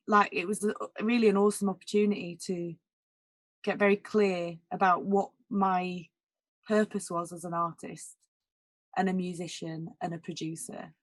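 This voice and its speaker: A woman jazz singer with a naturally husky voice, talking candidly in a casual interview, full of "like" and "you know". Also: lowish voice, no room sound. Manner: candid and emotional, many "like" and "you know" fillers.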